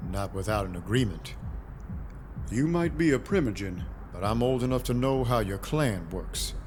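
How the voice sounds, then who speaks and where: A man playing a world-weary vampire detective in a noir audio drama, wry, guarded and deliberate. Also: fairly low pitch, lively intonation, darkish tone, slight background noise, close to an everyday voice. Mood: guarded, businesslike.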